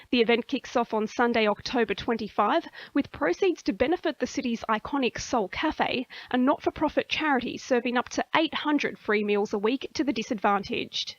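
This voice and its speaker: A woman's voice: a little high, dry recording, dull audio. A woman with an Australian accent reading a scripted radio news bulletin. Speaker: news reader.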